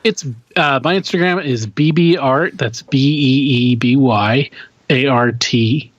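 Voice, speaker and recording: A man's voice: mid-range voice, slow, dry recording, dull audio. A man co-hosting a podcast in casual chat, speaking hesitantly and often repeating his words. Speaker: podcast co-host.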